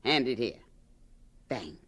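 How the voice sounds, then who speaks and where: An actress playing a bossy, proud older aunt from the American South, folksy and scolding, in a radio drama. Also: female voice, a bass voice, sing-song, slow pace, fairly bright tone, slightly rough, full character voice. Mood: brisk, instructive.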